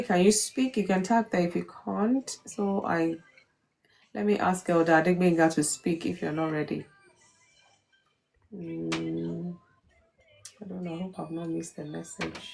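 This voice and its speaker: A woman's voice: fairly low pitch, gravelly, a little hiss or hum, low-quality recording. Woman hosting a faith talk. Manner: warm greetings to viewers, many fillers. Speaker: live stream host.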